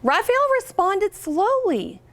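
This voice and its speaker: An American woman reading a picture book aloud to children as a guest reader, expressively, pausing to ask her listeners questions. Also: extremely high pitch, very animated. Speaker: guest reader, company representative.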